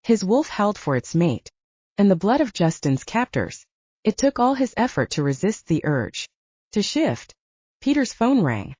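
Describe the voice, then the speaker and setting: Female fiction narrator, dark husky tone, scripted storytelling, roomy lo-fi sound. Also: mid-range voice. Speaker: audiobook narrator. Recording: roomy, dull lo-fi audio.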